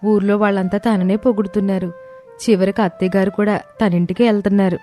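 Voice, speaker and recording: A woman's voice: mid-range voice, flat delivery, fast talker, dark tone, natural voice. A woman voicing a jealous, conniving younger sister-in-law in a dramatized moral story. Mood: envious. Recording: music bed under the voice.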